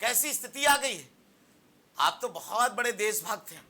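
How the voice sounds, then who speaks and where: A man's voice: very high voice, lively intonation, moderate pace, bright, forceful tone. A man arguing as a panelist in a heated TV political debate, insistently repeating rhetorical questions.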